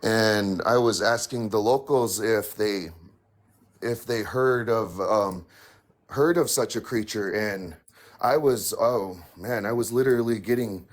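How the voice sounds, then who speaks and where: A raspy-voiced man speaks slowly and haltingly, his words trailing into fillers and restarts. His voice sits a little low for a man. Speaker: caller sharing a paranormal story. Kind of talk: hesitant casual storytelling.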